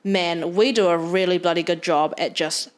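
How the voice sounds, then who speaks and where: A woman's voice: fairly low pitch, steady tone, moderate pace. A young adult woman with a New Zealand accent, a podcast guest talking frankly in casual chat, with the odd swear word.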